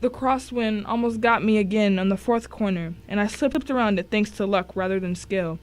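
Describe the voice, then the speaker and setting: A woman narrates a thriller in the first person. Her voice sits at a medium pitch, and she reads the scripted prose with drama and suspense. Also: normal speed. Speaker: story narrator.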